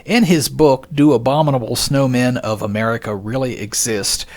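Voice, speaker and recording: A man's voice: mid-range voice, normal speed, a little mellow. A man hosting a podcast, reading scripted narration in a folksy, conversational way with joking asides and rhetorical questions. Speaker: podcast host.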